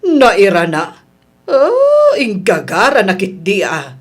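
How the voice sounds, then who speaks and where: A woman's voice: medium pitch, huge pitch swings, slow pace, husky, full character voice. A woman playing a touchy, grumbling older mother in a comedy radio drama. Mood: annoyed.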